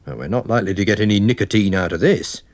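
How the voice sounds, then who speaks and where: A man's voice: fairly low pitch, lively intonation, no room sound. A man voicing a wary, methodical police inspector with a plain, official manner in a dramatised story reading. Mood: sceptical. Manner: official, plain-spoken, deliberate.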